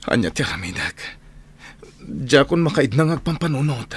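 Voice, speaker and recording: A man's voice: medium pitch, sing-song, moderate pace, husky. A man acting a troubled, brooding prisoner in an Ilocano radio drama, with an agitated manner. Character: troubled, brooding male prisoner plotting his escape.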